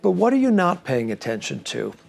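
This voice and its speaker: A man hosting a reflective program, speaking to listeners in an earnest, gently instructive way. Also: high voice, wildly swooping pitch, fairly quick, creaky, faint music behind. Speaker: host of a reflective series.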